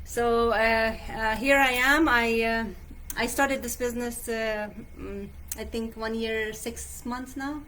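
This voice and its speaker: A woman from Canada, a real estate agent, answering interview questions softly and hesitantly with many fillers. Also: on the high side, steady tone, slow, slight background noise. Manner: hesitant, soft, with fillers and small laughs. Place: hotel room.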